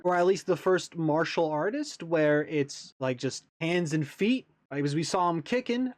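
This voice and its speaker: A man's voice: on the high side, no room sound. A man giving casual reaction commentary as a video host, with easygoing, hesitant phrasing.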